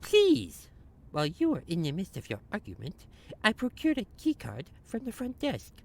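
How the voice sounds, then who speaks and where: A woman's voice: mid-range voice, wildly swooping pitch, normal speed, vocal fry, slight background noise. A woman playing a sensible, articulate, somewhat pedantic detective partner in a comic audio drama. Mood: exasperated.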